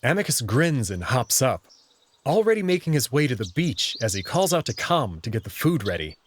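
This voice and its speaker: A man's voice: medium pitch, very animated, moderate pace, a little hiss or hum. A man reading first-person visual novel narration and dialogue as the story's protagonist.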